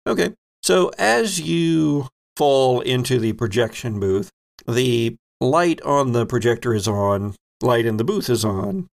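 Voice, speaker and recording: A man with a gravelly voice narrating a tabletop game as game master, describing scenes playfully and off the cuff. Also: mid-range voice, expressive, slow pace. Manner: descriptive, playful storytelling with pop-culture asides. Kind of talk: improvised narration of a tabletop horror-comedy game.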